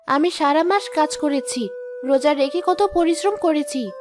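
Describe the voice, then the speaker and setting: A woman's voice: sits high, moderate pace, background noise, natural voice. A woman voicing a proud, plain-spoken poor housemaid and young mother in a cartoon moral story, sounding plaintive and reproachful. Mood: indignant. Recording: music behind the voice.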